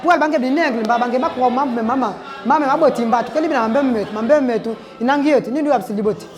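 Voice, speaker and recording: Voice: high-pitched, brisk, over music, a crowd in the background. A speaker reciting in a rhythmic, chanting manner. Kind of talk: recitation in a local language. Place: museum hall.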